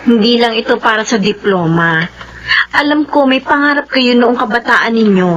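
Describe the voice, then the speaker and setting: A woman's voice: a little high, lively intonation, moderate pace, fairly bright tone, lo-fi sound. A woman playing a devoted grown daughter in a drama, earnest and persuasive. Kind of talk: Filipino drama acting.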